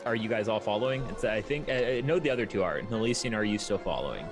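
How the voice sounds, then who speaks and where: A man's voice: on the low side, expressive, fast talker, music in the background. A man narrating a tabletop roleplaying game as its game master, describing scenes and asking for checks.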